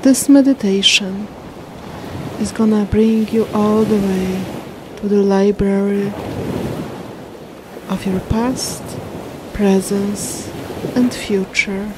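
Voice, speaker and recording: A woman leads a guided meditation at a very slow pace, leaving long pauses between short phrases. Her delivery is soothing and sits at a medium pitch. A clear wash of ocean waves and wind runs behind her voice. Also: steady tone.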